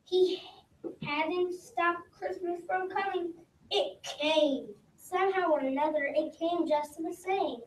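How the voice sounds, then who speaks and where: A young reader, sounding like a child, reading a rhyming story aloud slowly and haltingly. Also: very high voice, fairly even intonation. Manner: slow, stumbling over words. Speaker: readers theater performer. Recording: roomy, distant mic, background noise.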